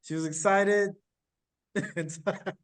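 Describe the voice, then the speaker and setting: Man, podcast host, slightly high voice, casual, rambling questions. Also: fairly even intonation, slow pace. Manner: loose, rambling questions with many fillers. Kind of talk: casual interview hosting.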